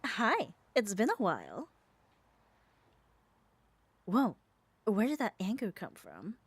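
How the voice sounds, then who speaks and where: A woman's voice: medium pitch, wildly swooping pitch, takes it slowly, breathy edge, full character voice. A woman playing a muscular, possessive yet caring yandere in an ASMR roleplay, speaking with coaxing, tender firmness. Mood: firm, teasing. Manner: coaxing, firm, tender reassurance. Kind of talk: ASMR roleplay audio drama.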